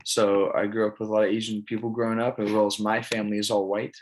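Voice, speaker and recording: Young california guy doing a class presentation, lowish voice, pretty monotone, plain and casual. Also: quick pace, a little hiss or hum. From California, United States. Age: early 20s. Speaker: college student presenting an assignment. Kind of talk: informal spoken presentation.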